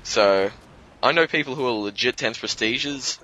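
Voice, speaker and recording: A young man from Australia, a guest on a gaming commentary, rambling loosely and swearing, a bit hoarse from a cold. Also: medium pitch, normal speed, bright, forceful tone, dull audio, slight background noise.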